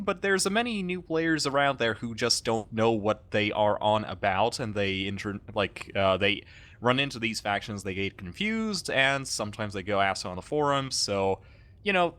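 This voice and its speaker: Lively joking young man hosting. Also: medium pitch. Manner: joking, animated, swears casually. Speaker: channel host. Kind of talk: casual interview chat.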